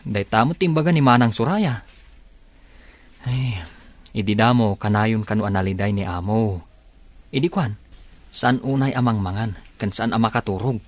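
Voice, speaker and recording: Guy playing supportive young buddy in a radio soap, ilocano accent, on the low side, very dry room. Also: moderate pace, pauses often, dry recording, natural voice. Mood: concerned. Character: supportive young male friend, a loyal buddy. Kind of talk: Filipino radio drama acting.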